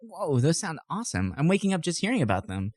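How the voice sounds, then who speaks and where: A man's voice: on the high side, big pitch swings, fast, a little mellow, no echo, clean studio sound, voice pushed up. A man playing a fantasy adventurer in a scripted comic ad skit, in an exaggerated, theatrical manner. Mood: eager, amazed. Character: weary adventurer turning eager in comic ad skit.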